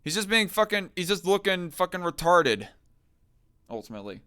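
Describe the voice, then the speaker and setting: Bright, crisp-voiced man mocking on stream. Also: high voice, very animated, moderate pace. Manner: crude, mocking, argumentative. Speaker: livestream host. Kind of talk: casual livestream commentary reacting to a clip and chat.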